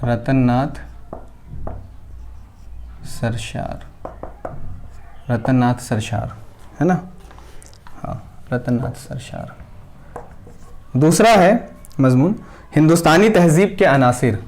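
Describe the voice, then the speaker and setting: A male teacher giving a classroom lecture at a board, dictating names slowly word by word and answering his own questions. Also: mid-range voice, darkish tone. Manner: asks the class questions and answers them himself.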